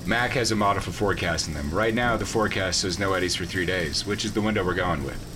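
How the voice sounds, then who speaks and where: Casting: male actor as blunt sci-fi commander, lowish pitch, practical delivery, noisy industrial setting. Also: quick pace, close to an everyday voice. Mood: matter-of-fact. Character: blunt, gruff male commander of deep-sea base. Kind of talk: sci-fi audio drama acting. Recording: loud rumbling industrial ambience.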